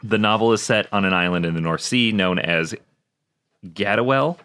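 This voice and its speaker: A man's voice: sits low, a bit bright, dry recording. A man co-hosting a podcast in casual, joking chat with some reading from notes. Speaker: podcast co-host.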